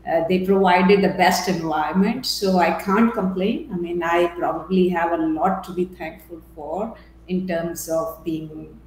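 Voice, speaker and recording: Echoey middle-aged Indian-born woman, low-pitched. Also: a little mellow. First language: Punjabi. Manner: measured, thoughtful, with fillers and restarts. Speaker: guest. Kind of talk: interview conversation. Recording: very echoey room with faint noise.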